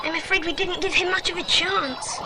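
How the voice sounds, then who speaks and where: A woman's voice: very high voice, steady tone, moderate pace, a loud crowd. A woman voicing a plucky, decisive boy who leads the others in a children's audio adventure drama. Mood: resolute. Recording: very noisy older dramatised recording.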